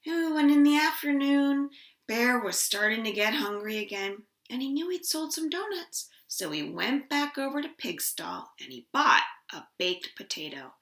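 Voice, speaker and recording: A woman telling stories to young children in an expressive, playful way, with drawn-out emphasis, recorded indoors at home. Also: a little high. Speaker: children's storyteller at a library storytime. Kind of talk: animated storytelling for young children. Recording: echoey room.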